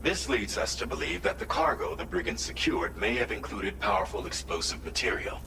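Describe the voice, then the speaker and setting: A formal mission coordinator who lays out an urgent operation in careful, earnest sentences. His voice sits low and sounds set back from the mic. There is room echo and steady background noise in this game audio. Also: brisk, vocal fry. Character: formal male mission coordinator giving briefings. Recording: roomy, distant, noisy game audio.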